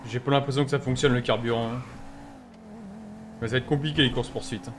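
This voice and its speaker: A man's voice: mid-range voice, steady tone, fast. A young man giving casual, cheeky gaming commentary and slangy role-play banter. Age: young adult. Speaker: streamer playing an online role-play game. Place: indoor streaming setup. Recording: faint car and game noise behind.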